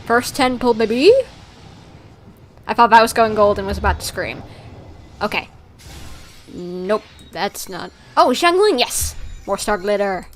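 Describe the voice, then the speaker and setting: A woman livestreaming a game with casual, chatty commentary and an excitable manner. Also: medium pitch, unhurried, long pauses.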